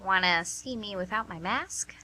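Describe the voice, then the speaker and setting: A woman's voice: medium pitch, moderate pace, bright, forceful tone, slight background noise. A woman playing a shy, teasing, self-deprecating masked woman on a date in an amateur radio play, playful and coy.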